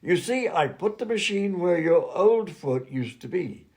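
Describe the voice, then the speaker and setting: A man's voice: sits high, expressive, unhurried, darkish tone, slight background noise. A man playing an absent-minded, fussy and polite mad doctor and inventor in a comedy radio play. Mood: breezy, fussy.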